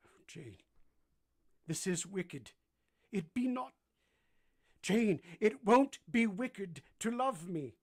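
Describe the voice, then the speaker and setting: Brooding period gentleman played by a male actor, bitter, anguished, declamatory radio drama delivery. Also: sits high, lively intonation, slow pace, long pauses, exaggerated voice. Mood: anguished pleading. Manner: impassioned, declamatory. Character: anguished, brooding English gentleman, bitter and pleading with his beloved.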